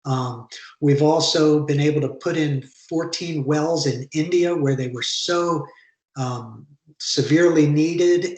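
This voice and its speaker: A man describing his charity projects on a video call panel, earnest and halting with fillers. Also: fairly high pitch, echoey room, poor audio. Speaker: charity founder, alumni panelist.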